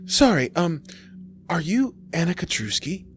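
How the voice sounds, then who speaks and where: A man's voice: on the high side, big pitch swings, a little slow, faint music behind, pitched above the performer's own voice. A man voicing a nervous, gushing young male admirer in his early thirties, stammering politely in a horror story.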